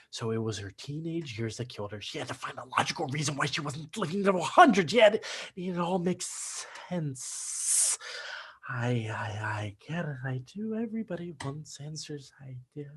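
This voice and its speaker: A man playing a disillusioned, brilliant son in a dramatic play reading, halting and sardonic. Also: a little high, husky. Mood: drained, confused. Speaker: stage actor in a play reading. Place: video call, remote staged reading. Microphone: video call microphone.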